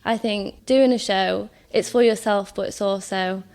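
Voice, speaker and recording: A woman's voice: medium pitch, fairly even intonation, unhurried. Bubbly woman from a theatre society. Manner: warm, bubbly, slightly hesitant with fillers. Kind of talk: interview guest.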